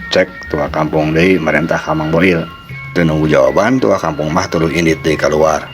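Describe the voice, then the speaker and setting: A male storyteller with a Sundanese accent tells a folk tale in dramatic narration. His voice is deep and low. Faint music plays behind him.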